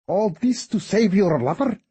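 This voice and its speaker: A man's voice: high-pitched, normal speed, vocal fry, darkish tone, breathy edge, pitched above the performer's own voice, full character voice. A male narrator voicing a vicious, sneering male cult leader in an audiobook, full of spitting rage. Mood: mocking.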